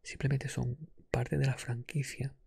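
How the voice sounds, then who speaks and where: A man softly whispering and murmuring an unscripted ASMR chat as a podcast host, close to the microphone. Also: medium pitch, completely flat delivery, a little slow, gravelly, slightly rough, dry recording.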